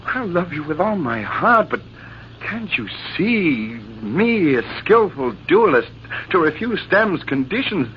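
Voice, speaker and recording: A proud, high-pitched captain, theatrically intense. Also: male voice, big pitch swings, slow pace. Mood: tender, anguished. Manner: theatrical, formal, declamatory. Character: proud army captain and skilled duelist, anguished, honor-bound. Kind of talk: 1940s radio drama acting. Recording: muffled, noisy old radio broadcast.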